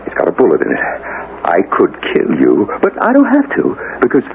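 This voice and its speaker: A man's voice: lowish voice, wildly swooping pitch, moderate pace, gravelly, exaggerated voice. An actor playing an agitated, irritable American businessman with a guilty secret, stammering and snapping in a 1970s radio drama. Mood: guilty, menacing. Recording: narrow-band, faintly noisy 1970s radio recording.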